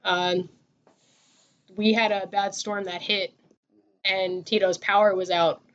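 A woman's voice: medium pitch, little pitch movement, takes it slowly, dull audio. A young woman hosting a casual podcast chat, joking and rambling with plenty of fillers. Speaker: podcast host.

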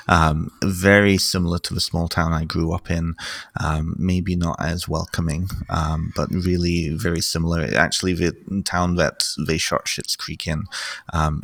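A man in his thirties, from North Wales but raised in Canada, talks openly as a podcast guest. He is chatty and lively, with fillers and small laughs. His very deep voice has a gravelly edge, and the recording is dry. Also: no echo. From North Wales, raised in Ontario, Canada. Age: 30s. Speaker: therapist and guest. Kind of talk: conversational interview.

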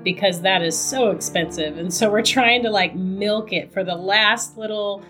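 A woman from Ohio co-hosting a podcast, her voice raspy right now, chatting casually and laughing often. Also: medium pitch, normal speed, a bit bright, soft background music. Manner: laughs often, says "girl". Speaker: podcast co-host. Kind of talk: casual chat.

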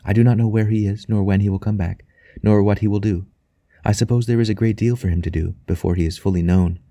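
A man voicing a devout, tender young wife in an audiobook, speaking softly and reverently. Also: lowish voice, steady tone, fast, no echo, natural voice. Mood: rapt devotion. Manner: soft, quiet, reverent. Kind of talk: audiobook character dialogue.

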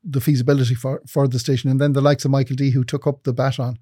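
A man in his fifties, from England, answers at length in an interview. His voice is warm and dark, recorded close with no room sound. He explains things in an articulate, measured way. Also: medium pitch, level tone, slightly rough, dry recording. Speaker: broadcasting executive guest.

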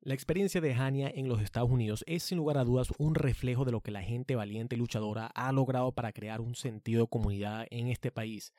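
A man's voice: medium pitch, fairly quick, few pauses, mellow, dry recording, clean studio sound. A man hosting a community radio show, speaking in a formal, scripted way. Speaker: radio host.

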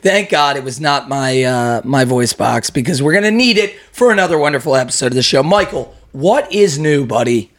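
A man hosting a podcast, his voice hoarse, chatting in an upbeat, mock-grand way with plenty of swearing. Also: medium pitch, lively intonation, moderate pace, hardly any pauses, fairly bright tone. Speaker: podcast host. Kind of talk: casual crude banter. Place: room in a house used as studio.

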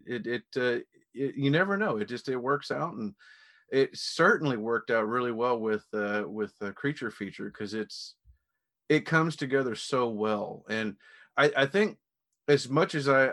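Guy podcast host, mid voice, casual and into it, kinda halting and repeats himself. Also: mid-range voice, a bit bright. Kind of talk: casual interview chat.

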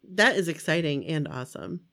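Hoarse, congested woman co-host, lively pitch, chatty. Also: fairly quick. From Maine. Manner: chatty storyteller, laughs often. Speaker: podcast co-host. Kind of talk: casual chat.

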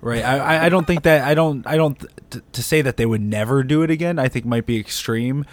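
A man's voice: medium pitch, a little fast. Late 20s guy, wisecracks a lot, teasing his friends, ordinary mid voice. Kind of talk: casual chat.

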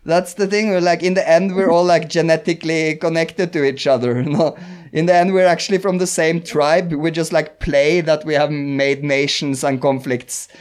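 A man with a slightly high voice and a Norwegian accent hosts a casual podcast chat. He rambles, searches for his words and breaks into laughter often. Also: steady tone, normal speed, clean tone. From Norway. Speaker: podcast host.

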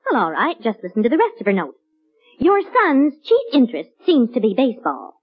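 High-voiced, exasperated American housewife. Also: female voice, huge pitch swings, fairly quick, husky, exaggerated voice. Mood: pointed reading. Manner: scolding, pointed delivery. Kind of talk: 1940s radio sitcom acting. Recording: muffled old radio broadcast.

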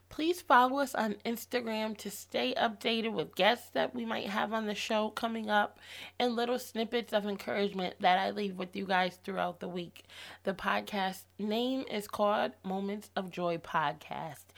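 A woman in her thirties hosts a faith-based podcast, her voice hoarse and gravelly from losing it. She talks to her listeners in an upbeat, encouraging way at a middle pitch. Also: fairly bright tone. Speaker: podcast host.

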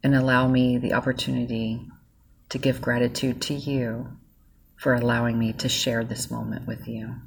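A woman leading a guided gratitude meditation, speaking softly and soothingly straight to the listener. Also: a bass voice, little pitch movement, creaky, breathy edge, rough recording. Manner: soft, slow and soothing, speaking directly to the listener.

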